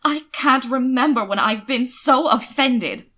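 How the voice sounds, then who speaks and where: A woman voicing an indignant young gentleman prone to giggles in a dramatic audiobook reading. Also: high voice, unhurried, voice pushed up, exaggerated voice.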